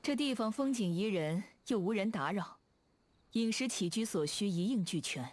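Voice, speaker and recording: A proud, aloof immortal inventor , played by a woman from a fantasy game. She speaks with lofty composure in a mid-pitched voice over background music. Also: animated, moderate pace, darkish tone, lightly acted. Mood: approving, amused. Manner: lofty, archaic self-reference, composed. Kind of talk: game character voice line.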